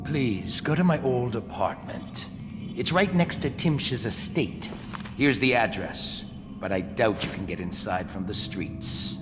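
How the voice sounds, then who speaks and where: A man's voice: lowish voice, animated, a little slow. An actor playing a bitter, scheming former businessman who speaks in a persuasive, resentful way, in a game. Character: bitter, scheming ruined businessman seeking revenge. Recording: reverb with loud game music.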